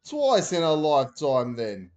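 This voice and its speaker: Man playing a gruff shouting dad, short-tempered, storybook reading. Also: fairly high pitch, wildly swooping pitch, measured pace, highs cut off. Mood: stern. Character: loud, stern father, short-tempered.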